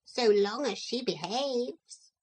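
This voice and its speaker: A woman's voice: fairly high pitch, animated, takes it slowly, a little mellow, breathy edge, pitched above the performer's own voice, heavily acted. Woman doing a polite, menacing parrot. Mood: courteous. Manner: polite, formal, coolly threatening. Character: proud, courteous male parrot with a sharp beak.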